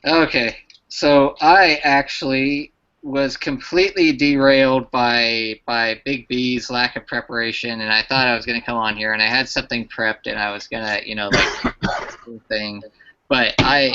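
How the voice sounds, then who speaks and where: A man's voice: mid-range voice, steady tone, measured pace, pushed and bright. A man hosting a casual live stream chat, rambling with restarts. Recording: lo-fi online stream audio.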